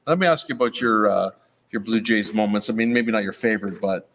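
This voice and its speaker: A man's voice: on the low side, fairly quick, a bit bright. A man casually asking interview questions, recorded in a reverberant room with background noise.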